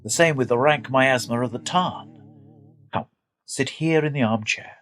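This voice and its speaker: An actor playing an anxious, reasonable gentleman in a radio drama, speaking in an earnest, formal, theatrical way. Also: medium pitch, unhurried, soft background music, lightly acted. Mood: soothing concern. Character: anxious, reasonable gentleman soothing his frightened friend.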